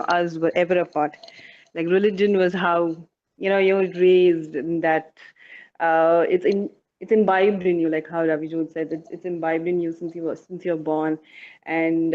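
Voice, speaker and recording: A woman from Dubai speaking as a guest on a mothers' panel, halting, with many fillers and restarts. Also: on the low side, lo-fi sound. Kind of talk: casual panel talk.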